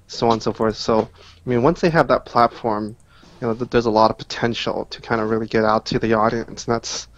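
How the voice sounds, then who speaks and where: Male guest, mid-range voice, conversational and measured, pausing as he explains his thoughts. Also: mid-range voice, fairly even intonation, muffled recording, slight background noise. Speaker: podcast guest. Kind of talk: casual chat.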